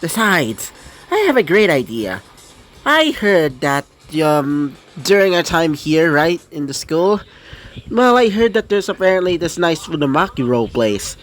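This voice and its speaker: A man's voice: on the high side, very animated, a little slow, fairly bright tone, voice pushed up. A man voicing a mischievous male imp who talks in a chummy, upbeat, scheming way. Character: Pyro Jack, mischievous lantern-bearing imp, flirty.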